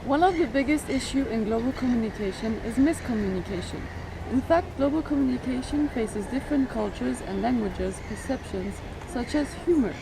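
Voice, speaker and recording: A woman reading a formal scripted narration in a measured way. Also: a little high, animated, gravelly, background noise. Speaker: student narrator.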